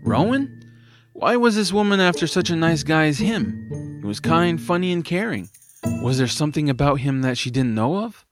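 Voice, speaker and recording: A man narrating a scripted story in an expressive, dramatised storytelling manner. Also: fairly high pitch, moderate pace, music in the background.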